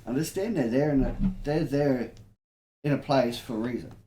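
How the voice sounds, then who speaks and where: A man's voice: medium pitch, moderate pace, warm tone. An Australian man co-hosting a podcast, telling stories casually in blunt, slangy, sweary language. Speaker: podcast co-host. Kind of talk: casual anecdote telling among friends. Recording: roomy echo, faint background noise.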